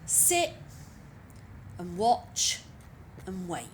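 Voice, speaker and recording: Some ambient noise sits behind a woman reading fiction aloud. She speaks slowly and conversationally, as if straight to the listener, her pitch rising and falling expressively. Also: sing-song. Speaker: reader of short stories. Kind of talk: expressive scripted story reading. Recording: clearly noisy with background ambience.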